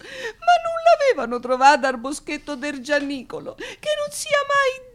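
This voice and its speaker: An actress playing a brash, voluble working-class aunt with a Roman accent in an Italian radio drama, talking colloquially with dramatic exclamations. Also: female voice, high voice, wildly swooping pitch, a little slow, full character voice. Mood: animated storytelling.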